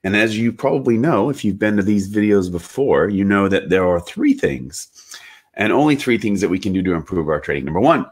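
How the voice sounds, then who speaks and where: A man getting over a cold, hosting a relaxed instructional talk on trading, explaining step by step with a few fillers and restarts. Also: fairly low pitch, a little fast. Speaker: trading educator and channel host. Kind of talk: casual instructional talk to camera.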